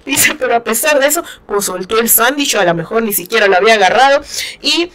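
Female book commentator, northern Mexican accent, fairly high voice, casual and playful, talking straight to listeners. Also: quick pace. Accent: northern Mexican Spanish. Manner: playful, animated retelling with asides to listeners. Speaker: book commentator, channel host. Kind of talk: casual chatty commentary on a novel.

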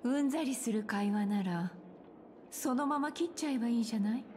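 A woman's voice: fairly high pitch, fairly quick, darkish tone, slight background noise, natural voice. An actress playing an elegant, composed senior businesswoman in a game, with a teasing, coaxing manner. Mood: teasing, welcoming. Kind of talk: Japanese game voice acting. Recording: music behind the voice.

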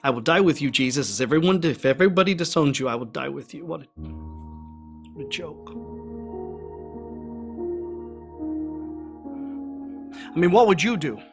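Man acting a higher-voiced guilt-ridden fisherman disciple. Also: animated, over music. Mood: anguished. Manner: halting, conversational, everyman delivery. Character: anguished, guilt-ridden fisherman disciple recounting his denial. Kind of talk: dramatic first-person monologue.

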